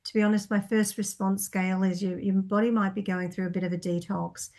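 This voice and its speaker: A woman's voice: mid-range voice, little pitch movement, a little fast, a little mellow. Hesitant woman giving psychic readings. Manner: tentative, thinking aloud with many fillers. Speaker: psychic reader. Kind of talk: answering viewer questions, reading them aloud.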